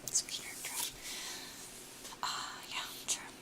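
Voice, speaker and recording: A young woman with an American accent whispering casually to her live stream viewers, a little sniffly, close to the mic. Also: takes it slowly. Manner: soft whisper throughout, chatty, laughs often. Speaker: ASMR streamer chatting with viewers.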